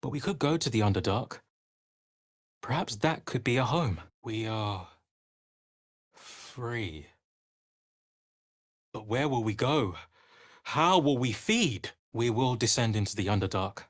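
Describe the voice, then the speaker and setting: A man's voice: medium pitch, expressive, slow pace, pauses often. An actor playing a grim, weary male vampire spawn in a dramatic video game, with a terse, brooding delivery. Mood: anxious, dazed.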